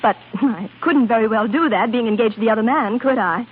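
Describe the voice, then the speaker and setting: A woman's voice: a little high, a little fast, slight background noise, lightly acted. A woman playing a coy, flirtatious opera prima donna in a 1930s radio drama, hesitant and fluttery. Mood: flirtatious, evasive. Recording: tinny, band-limited 1930s radio broadcast with music.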